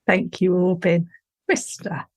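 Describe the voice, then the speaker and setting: A woman hosting a podcast panel, welcoming guests in a measured, courteous way. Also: lowish voice, level tone, darkish tone. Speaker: podcast host.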